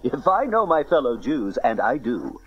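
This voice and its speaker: Jovial father of a grown daughter male actor, animated and teasing, audio Bible drama, muffled cassette sound. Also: medium pitch, normal speed, natural voice.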